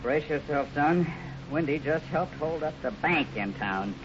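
An actor playing a steady, fatherly ranch foreman in a radio western, earnest and authoritative. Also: fairly high pitch, unhurried. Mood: grave, urgent. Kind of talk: 1950s radio western acting. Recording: muffled, noisy 1950s radio recording.

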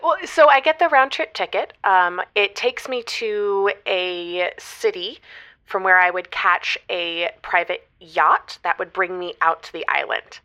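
A woman's voice: on the low side, measured pace, pushed and bright. A woman in her twenties playing a hesitant young graduate calling a radio advice show, rambling and correcting herself in a semi-improvised drama. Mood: tentative. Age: 20s. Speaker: caller. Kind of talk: semi-improvised audio drama, conversational phone-in.

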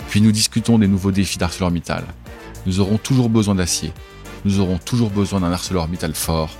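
A man in his forties hosting a business podcast, reading a measured introduction and then chatting casually with a guest. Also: low voice, over music. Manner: measured, deliberate reading; relaxed and hesitant in conversation. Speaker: podcast host.

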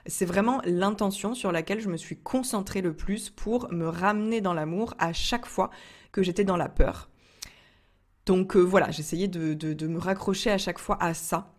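Young woman from France, low-pitched, casual vlog storyteller, talks with many fillers. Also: moderate pace. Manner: chatty, frequent fillers and restarts, laughs often. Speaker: entrepreneur vlogger.

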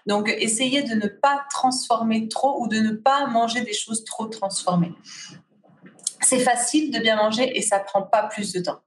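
A woman's voice: a little high, measured pace, far from the mic. A woman talking through a hesitant flow of fillers and restarts. She is set back from the mic. Her voice rings in a roomy, echoing space. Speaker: guest, fasting retreat organizer. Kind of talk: casual conversation.

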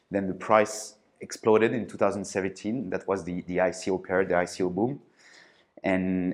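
A man from France, telling his founder story in a casual interview, hesitant, with many fillers and restarts. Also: low voice. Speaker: podcast guest, startup founder.

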